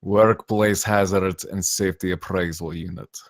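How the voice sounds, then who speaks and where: A man acts an officious safety inspector of thirty with a low voice. He is confident and probing, read at a table in a dry, close sound. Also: steady tone, normal speed, dry recording.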